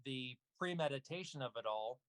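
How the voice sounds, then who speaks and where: A man in casual conversation as an interview guest, explaining things in measured phrases. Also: mid-range voice, lively intonation, a bit bright, dry recording.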